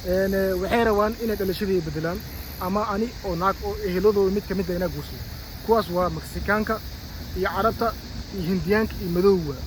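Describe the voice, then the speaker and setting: A man from Somalia with a Somali accent, talking off the cuff in a halting, repetitive way as a vlogger. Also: high voice, slight background noise, rough recording. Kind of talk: unscripted vlog talk.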